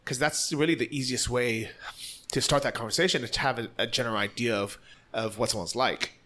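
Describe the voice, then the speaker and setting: A man's voice: mid-range voice, brisk, sharp tone. A man from the United States, hosting a casual conversation and telling stories bluntly and energetically, acting out quotes as he goes.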